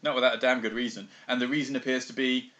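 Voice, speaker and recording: British man, podcast co-host, dry wry humor, rambly, medium pitch. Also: fairly even intonation, quick pace, pushed and bright, muffled recording. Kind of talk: casual anime discussion.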